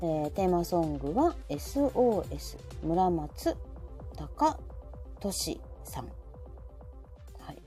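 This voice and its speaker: A woman's voice: lowish voice, sing-song, slow, pauses often. A woman with a Kansai accent chatting casually as host of a live audio show, asking questions and reacting often. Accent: Kansai Japanese. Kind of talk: casual chat on a live stream. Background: soft background music.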